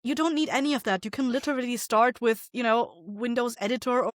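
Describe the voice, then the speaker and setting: A woman's voice: on the high side, fast, no echo, clean studio sound. A woman chatting casually as a podcast guest, plain-spoken, informal and encouraging. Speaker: podcast guest, audio drama maker. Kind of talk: casual chat.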